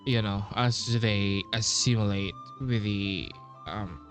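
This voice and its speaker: A man's voice: lowish voice, fairly even intonation, slow pace, music in the background. A man giving casual playthrough commentary as a game streamer, hesitant and trailing off mid-thought.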